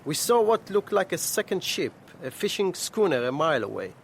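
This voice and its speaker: A man's voice: sits high, sing-song, fairly quick, creaky, slight background noise, heavily acted. A man playing a formal, firm young Israeli naval lieutenant in a radio drama, speaking precisely and officially. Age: young adult.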